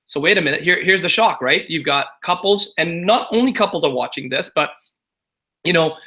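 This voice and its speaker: Bright-voiced chatty man, keeps asking "right?". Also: a little high, lively intonation, moderate pace. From Singapore. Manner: chatty and confiding, leans on "right?" and "you know". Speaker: guest entrepreneur. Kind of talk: casual interview talk.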